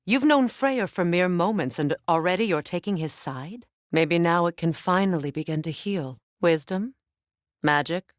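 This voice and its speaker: Actress as grave, proud Norse warrior goddess. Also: female voice, fairly low pitch, lively intonation, no room sound, studio quality, close to an everyday voice. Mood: grave, measured. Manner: measured, formal, earnest. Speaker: video game voice actress. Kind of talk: dramatic game dialogue.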